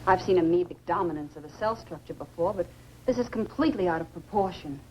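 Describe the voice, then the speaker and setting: A woman's voice: fairly low pitch, lively intonation, quick pace, muffled recording, lightly acted. An actress playing a serious, precise female doctor, explaining things clinically in 1950s science fiction film style. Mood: clinical concern. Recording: noisy old 1950s film soundtrack.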